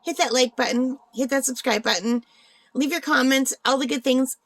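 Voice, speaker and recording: Woman, higher-pitched voice, slightly under the weather, chatty craft unboxing, informal, close dry sound. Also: steady tone, moderate pace, fairly bright tone, no echo. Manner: chatty, informal, thinking aloud. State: slightly unwell, voice tiring. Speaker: craft channel host. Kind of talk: casual unboxing vlog chat.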